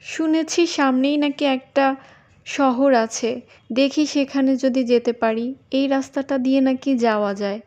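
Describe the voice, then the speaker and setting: A woman as a high-voiced, coy young cartoon maiden. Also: steady tone, measured pace, darkish tone, dull audio. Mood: helpless pleading. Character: sweet-talking scheming young petni disguised as human woman. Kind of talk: cartoon voice acting.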